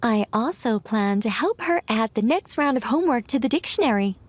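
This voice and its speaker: A woman voicing a composed, whimsical witch mage in a video game, chatty and genteel with a gently teasing manner. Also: on the high side, lively intonation, fairly quick, background noise, natural voice. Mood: explanatory, airy. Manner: chatty, playful, genteel storyteller. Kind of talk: animated game voice acting. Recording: music playing behind the voice.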